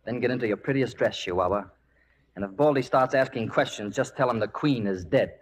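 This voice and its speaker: A man acts out a bitter Old West gunman in 1940s radio drama style. His voice sits at an ordinary male pitch, and his delivery is curt and sardonic, with a restless edge. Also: a little fast, close to an everyday voice, poor audio. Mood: sardonic, reckless.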